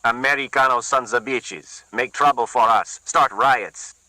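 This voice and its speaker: A man's voice: mid-range voice, unhurried, sharp tone, no room sound, rough recording. A man voicing a blunt, hostile young Puerto Rican man with a Puerto Rican Spanish accent who threatens in broken English. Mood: threatening.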